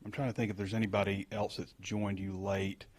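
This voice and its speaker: Flat, low male reporter. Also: a little fast, breathy edge. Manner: hesitant, searching for words. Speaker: sports reporter. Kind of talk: press conference question.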